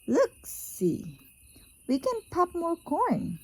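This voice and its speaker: A woman voicing a tiny, kind storybook mouse with a squeaky voice, soothing and helpful. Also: wildly swooping pitch, extremely slow, no echo, exaggerated voice, poor audio. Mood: reassuring.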